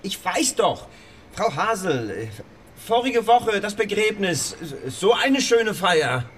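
Nosy reporter, radio drama, hesitant stammer, polite, animated pitch, background noise. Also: male voice, takes it slowly, full character voice. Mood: flustered, pleading. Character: awkward, nosy small-town newspaper reporter. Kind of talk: German radio play acting.

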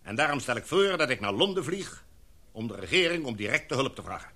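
A man's voice: mid-range voice, very animated, sharp tone, heavily acted. Een man die in een hoorspel een formele, gezaghebbende expeditieleider speelt en afgemeten, redenaarsachtig spreekt. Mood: urgent, oratorical. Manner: formal, oratorical, measured. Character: authoritative, formal expedition leader, a knighted gentleman. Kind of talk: radio drama acting.

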